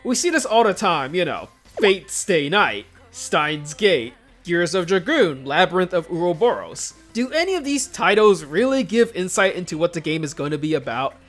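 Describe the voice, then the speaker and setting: Give the voice of a video host delivering scripted countdown commentary in a casual, joking way. The pitch is highly animated, rising and falling freely. Faint music plays behind the voice. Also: moderate pace, few pauses.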